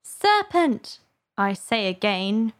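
A woman's voice: very high voice, sing-song, a little slow, a bit bright, pitched above the performer's own voice, heavily acted. A woman voicing a frantic, scolding mother pigeon in an indignant, accusatory way for a storybook. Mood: sobbing frustration. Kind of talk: storybook character voice.

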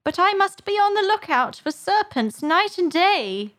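Shrill, frantic scolding mother pigeon. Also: sing-song, measured pace, fairly bright tone, full character voice. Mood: shrieking exasperation. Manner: indignant, accusatory, exclamatory. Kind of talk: storybook character voice.

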